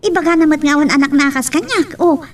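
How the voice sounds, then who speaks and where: A very high, childlike voice acted out by a woman with a Filipino accent, sounding slightly distant from the mic. She is a pert, wounded little girl in an audio drama, firing questions with stubborn emphasis. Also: quick pace, close to an everyday voice. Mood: hurt, insistent. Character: sassy, wounded little girl questioning her mother and a stranger.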